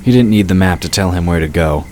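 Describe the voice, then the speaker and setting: A man's voice: sits low, fast talker, darkish tone, slight background noise. A man reading scripted fantasy narration as the narrator of an audio drama.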